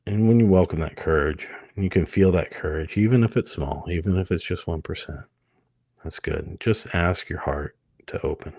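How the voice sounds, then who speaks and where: A man leading a guided hypnosis meditation, speaking gently and soothingly in short phrases with many pauses. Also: low-pitched, dry recording, clean studio sound.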